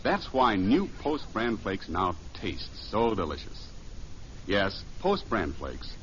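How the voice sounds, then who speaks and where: A man's voice: fairly low pitch, huge pitch swings, takes it slowly, fairly bright tone. A man reading a 1950s radio commercial in a folksy, persuasive announcer style. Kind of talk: 1950s scripted radio advertising. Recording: muffled old radio recording, faint hiss.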